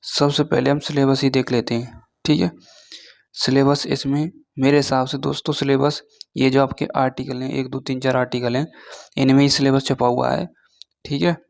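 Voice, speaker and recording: A man giving an informal explainer lesson as an online teacher, reading through points haltingly and often checking in with short tag words. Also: mid-range voice, level tone, creaky, a little mellow. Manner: switches between Hindi and halting read-out English.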